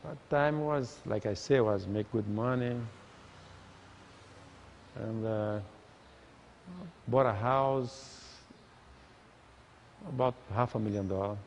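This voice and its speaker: Brazilian man in halting English. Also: lowish voice, slight background noise. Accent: Brazilian Portuguese. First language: Portuguese. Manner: relaxed, halting non-native English. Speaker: interviewee, auto shop owner. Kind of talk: interview. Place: indoor auto workshop. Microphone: lapel mic.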